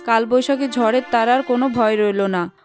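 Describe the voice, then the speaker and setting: A woman reads scripted story narration for children. Her voice is moderately high. Music plays clearly behind her. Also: normal speed.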